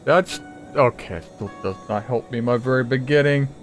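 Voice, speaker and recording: A man's voice: medium pitch, normal speed. A man giving casual, reactive commentary on a video game, thinking aloud informally and swearing now and then. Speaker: gamer recording a playthrough. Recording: game music behind voice. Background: video game music.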